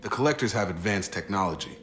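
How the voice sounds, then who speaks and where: An actor playing a steady, practical soldier in a video game, speaking plainly and in a businesslike way. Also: male voice, on the low side, fast, faint music behind. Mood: measured, practical.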